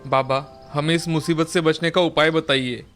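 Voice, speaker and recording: A man's voice: a little high, steady tone, moderate pace, fairly bright tone, faint music behind, close to an everyday voice. A man playing an earnest young brother turned into a deer in a children's fairy tale. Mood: pleading.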